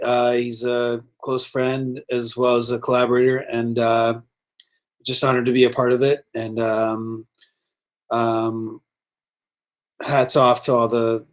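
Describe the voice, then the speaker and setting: A man speaking as a guest on a live online panel, humble and halting as he gives earnest remarks. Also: medium pitch. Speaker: film camera operator, panel guest.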